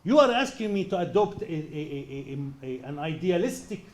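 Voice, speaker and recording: A man answering a question after his lecture, pressing his points firmly and with emphasis. His voice sits a little high yet sounds warm and mellow. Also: sing-song, moderate pace. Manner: forceful, emphatic, argumentative.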